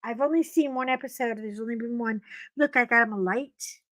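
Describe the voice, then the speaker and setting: A middle-aged woman hosting a casual live stream, chatting to viewers and telling a story with a slightly froggy voice and big swoops of pitch on her greetings. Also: a little fast, a bit bright, dry recording, clean studio sound.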